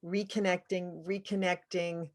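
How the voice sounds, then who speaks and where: A woman's voice: lowish voice, little pitch movement, a little slow, darkish tone. A woman interviewer chatting casually about spiritual matters in a tentative, musing way.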